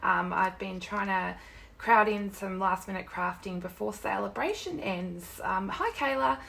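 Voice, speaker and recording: A young woman with a croaky, sore-throated voice, hosting a casual live craft tutorial from her desk at home. She rambles chattily, greets viewers by name and keeps apologising. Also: mid-range voice, a little slow, pushed and bright. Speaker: craft demonstrator and live-stream host.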